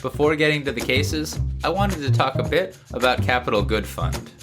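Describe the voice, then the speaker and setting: A man hosts a legal news podcast in a mid-range voice, made a little nasal by a cold. He reads prepared material and slips into casual asides. Music with guitar plays clearly behind him. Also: mid-range voice, animated, normal speed. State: has a cold. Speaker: podcast host; lawyer.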